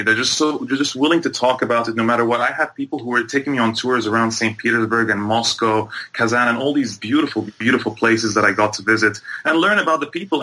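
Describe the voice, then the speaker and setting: A man from Egypt with a bright, forceful voice at a medium pitch. He talks conversationally as an interview guest, building long, earnest explanations and doubling back on his phrases. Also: rough recording. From Egypt and Bahrain. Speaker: guest, sports journalist and MMA commentator.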